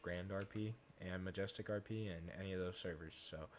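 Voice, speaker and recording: A man casually talking through a software tutorial step by step, in an informal way. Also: deep voice, steady tone, a little fast. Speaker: tutorial host, gaming content creator. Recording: noisy home recording with keyboard clicks.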